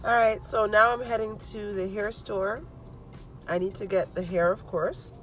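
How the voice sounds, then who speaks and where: A congested woman chatting casually in a vlog, talking her plans through out loud. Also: mid-range voice, steady tone, unhurried, a little hiss or hum, poor audio. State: congested from allergies. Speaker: vlogger. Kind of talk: casual vlog chat to camera.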